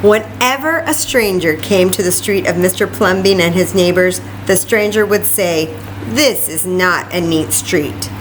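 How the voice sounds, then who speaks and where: A woman hosting a children's library story time, reading a picture book aloud with animated, exclamatory asides straight to her young listeners. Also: on the low side, unhurried, few pauses, background noise.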